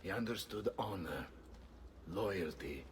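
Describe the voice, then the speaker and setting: A man's voice: low voice, expressive, slow, a little hiss or hum. An actor playing a proud, wounded Florentine nobleman in a video game, with a pained, commanding delivery. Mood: grave, grateful. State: pained, weakened by injury. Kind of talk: dramatic video game acting.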